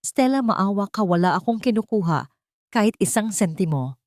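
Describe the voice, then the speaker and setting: A woman's voice: medium pitch, expressive, moderate pace, darkish tone, no room sound, clean studio sound, close to an everyday voice. A woman voicing a meek older housemaid, weak, pleading and submissive, in a dramatic story. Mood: pleading, hurt.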